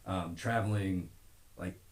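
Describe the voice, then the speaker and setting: A man's voice: low-pitched, takes it slowly. An American man from Nebraska talking casually and earnestly as a podcast guest, rambling with frequent filler phrases. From Omaha, Nebraska. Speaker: podcast guest, gym owner. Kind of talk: casual conversational interview. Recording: roomy, echoing podcast recording.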